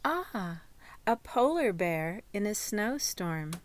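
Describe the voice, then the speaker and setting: A woman voicing a patient, gently encouraging art teacher in a storybook, speaking in a measured way. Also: mid-range voice, very animated. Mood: wry. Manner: quiet, measured.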